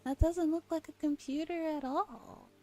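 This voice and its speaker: A young woman streamer with a soft, breathy voice, reading a visual novel aloud in ASMR style and chatting playfully in casual slang. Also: high-pitched, fairly even intonation, moderate pace. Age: young adult. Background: game music.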